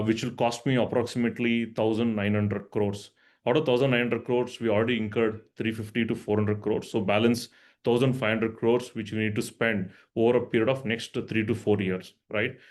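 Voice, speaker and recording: A man speaking as a company finance executive, explaining answers on an earnings call and often checking in with "right?". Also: fairly low pitch.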